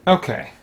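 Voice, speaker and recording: A man hosting a solo tabletop game, giving casual, unscripted commentary and haltingly thinking aloud as he plays. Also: a little mellow, a little hiss or hum.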